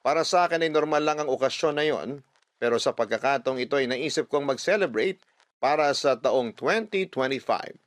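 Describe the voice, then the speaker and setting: Radio host, male, Filipino accent, medium pitch, earnest and sentimental storytelling delivery, dry studio sound. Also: brisk, dry recording. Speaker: radio drama host reading listeners' letters. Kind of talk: dramatic first-person letter narration.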